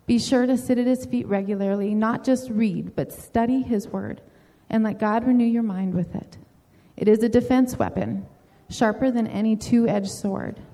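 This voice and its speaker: A woman speaks earnest, encouraging words and reads a prayer aloud. Her mellow voice carries a long reverb, as if in a big hall. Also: fairly high pitch, normal speed, hardly any pauses. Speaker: mentor addressing a newly baptized woman. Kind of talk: encouraging message and read-out prayer.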